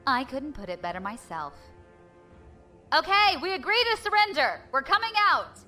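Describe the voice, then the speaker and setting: A woman acts a defiant female space pirate captain in a sci-fi radio play. Her voice is on the high side, wry and confident, over clear background music. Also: big pitch swings, moderate pace, sharp tone, full character voice. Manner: flippant, confident. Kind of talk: audio drama acting.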